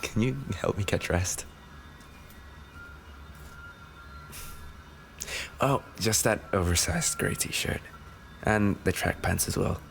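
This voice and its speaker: A man playing an affectionate, injured racing driver boyfriend in an intimate roleplay audio, speaking softly and tenderly, often close to a whisper. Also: low voice, unhurried, creaky, slight background noise. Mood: casual, fond.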